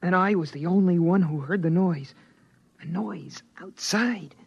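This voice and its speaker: Boy narrator, fearful, hushed, higher voice; dull old radio sound. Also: a little slow, gravelly, slightly rough, heavily acted. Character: young boy, family's son, fearful and imaginative. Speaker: narrator and son. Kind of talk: 1950s radio drama acting.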